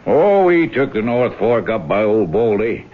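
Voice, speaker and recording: A man's voice: lowish voice, big pitch swings, a little slow. An actor playing a folksy outdoorsman, somebody's old buddy, in a radio drama. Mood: breezy, jovial. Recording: tinny old radio broadcast.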